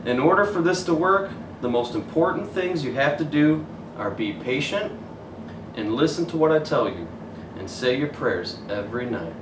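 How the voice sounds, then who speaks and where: A man voicing a kindly, wise storybook mother with a measured, gently firm and reassuring manner. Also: mid-range voice, animated, close to an everyday voice. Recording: roomy echo, distant mic, faint noise.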